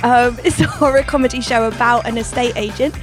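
A woman's voice: fairly high pitch, brisk, music in the background. A woman with an English accent, a stand-up comedian giving playful, giggly interview answers. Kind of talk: quick-fire interview answers.

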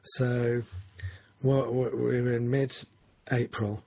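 A man's voice: medium pitch, little pitch movement, very slow, mellow, breathy edge, no room sound. A man, talking through his goals in a casual, rambling, self-correcting chat. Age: 40s.

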